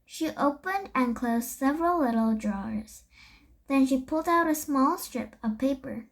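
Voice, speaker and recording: A young girl reading a children's story aloud, haltingly, with stumbles and self-corrections. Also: high-pitched, lively intonation. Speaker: storybook reader.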